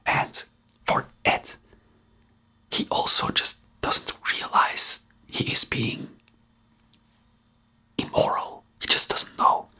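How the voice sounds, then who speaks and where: An ASMR host who speaks in a breathy whisper with a non-native English accent, rambling hesitantly and searching for words while giving commentary. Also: takes it slowly, pauses often, bright, forceful tone. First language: not English.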